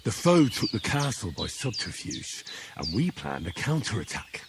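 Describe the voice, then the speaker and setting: An actor playing a modest young commoner hero who speaks plainly with a dry sense of humor, in a game cutscene. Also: male voice, on the low side, very animated, normal speed, background noise. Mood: wry, teasing. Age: young adult. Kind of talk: video game cutscene acting.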